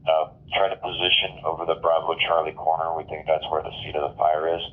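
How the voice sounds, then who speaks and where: Tinny two-way radio, American man, very deep, flat and fast, clipped procedural commander. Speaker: fire incident commander. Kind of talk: fire radio dispatch traffic. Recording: narrow tinny fireground radio transmission.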